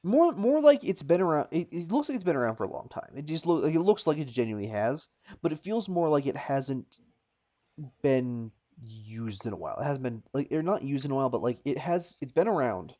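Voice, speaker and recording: A man runs a tabletop game over slightly dull, lo-fi audio. He improvises descriptions in a halting way, circling back and repeating phrases as he searches for the right word. His voice sits at an ordinary male pitch. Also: big pitch swings, mellow. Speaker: game master.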